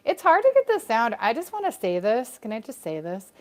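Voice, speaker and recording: A woman's voice: on the high side, wildly swooping pitch, brisk, a little mellow. An American woman co-hosting a casual live stream, chatting upbeat and reading out viewer comments.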